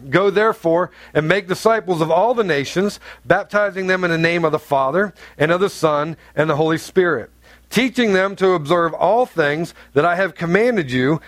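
A man from North Carolina preaching a sermon in a church, blunt and humorous, with chatty asides to the congregation. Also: no room sound. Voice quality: deep, carrying voice. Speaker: pastor. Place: church sanctuary.

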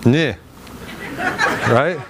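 A man preaching a sermon as pastor, emphatic and animated, with a loud voice that carries, acting out the people in his stories. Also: mid-range voice, drawn-out words, crowd noise. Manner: loud, carrying voice. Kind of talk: sermon with storytelling.

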